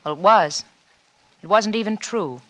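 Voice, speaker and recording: Actress as bright-voiced American noir seductress. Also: female voice, medium pitch, measured pace, dry recording, close to an everyday voice. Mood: coy, calculating. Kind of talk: 1940s radio noir drama acting.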